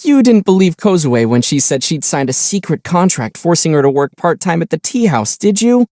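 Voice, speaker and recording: A man voicing a young adventurer in a video game, calm, kind and dryly witty, with a gently teasing, unhurried delivery. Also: fairly high pitch, dry recording, studio quality. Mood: dryly amused.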